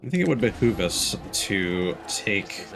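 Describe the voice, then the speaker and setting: A man's voice: on the low side, sing-song, unhurried, vocal fry, a bit bright, a little hiss or hum. A man streaming a video game with casual, wry, understated commentary over game music. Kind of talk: casual let's play commentary.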